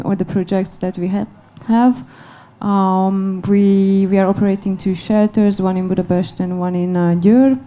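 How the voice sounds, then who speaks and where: A woman's voice: mid-range voice, dark tone. A woman from Transylvania speaking as an NGO representative on a panel. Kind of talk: panel self-introduction.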